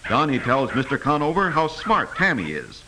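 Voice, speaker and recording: A man's voice: mid-range voice, wildly swooping pitch, moderate pace, bright, forceful tone. A man narrating a 1950s educational film, telling a simple story earnestly, as if for children. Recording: noisy vintage 1950s film soundtrack.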